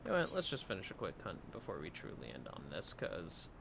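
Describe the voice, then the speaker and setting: A man's voice: low voice, wildly swooping pitch, a little fast, creaky, noisy background. A man livestreaming a game, talking to himself in a playful, rambling, casual commentary. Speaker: livestreamer playing an online fantasy game.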